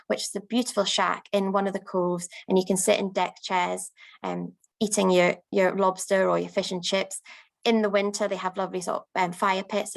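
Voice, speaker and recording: A woman promoting her city to event planners in an unscripted talk, speaking upbeat and filling pauses with frequent ums. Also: medium pitch, creaky. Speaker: destination promoter.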